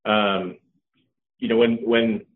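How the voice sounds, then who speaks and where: A middle-aged man, Norwegian-born and raised in California, speaking as a guest in a casual interview, measured and thoughtful with many ums. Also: fairly low pitch, fairly even intonation. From Norway, raised in California. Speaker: co-founder guest. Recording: tinny phone-like line.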